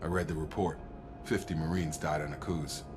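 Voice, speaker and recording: A man's voice: rumbling low, fairly even intonation, normal speed, noisy background. A man playing an earnest, loyal soldier in a video game cutscene, frank and reassuring. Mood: sober. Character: earnest, loyal male soldier, plain-spoken and protective.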